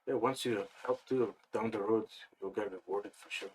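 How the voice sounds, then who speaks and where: Low-voiced man chatting, loose fillers. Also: moderate pace, slight background noise, low-quality recording. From Afghanistan. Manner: relaxed, rambling with many fillers. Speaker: guest. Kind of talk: casual interview chat.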